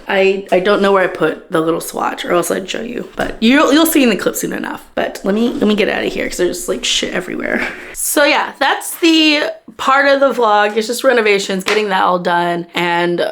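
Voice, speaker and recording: A young woman talking casually to her phone camera for her vlog, rambling and laughing, her voice hoarse from a cold. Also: medium pitch, moderate pace. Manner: chatty, rambling, laughs often. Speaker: book vlogger.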